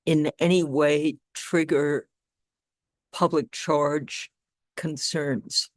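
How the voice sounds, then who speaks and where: A woman moderating a news briefing, making polite, measured introductions over a remote call. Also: low-pitched, fairly even intonation, no room sound.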